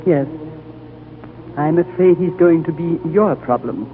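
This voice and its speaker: Male actor as a grave old high lama, slow prophetic delivery, slightly high voice, tinny old radio sound. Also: slight background noise, close to an everyday voice. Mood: solemn. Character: ancient wise male high lama, solemn and gentle. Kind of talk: 1930s radio drama acting. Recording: old phone-like radio broadcast, faint music.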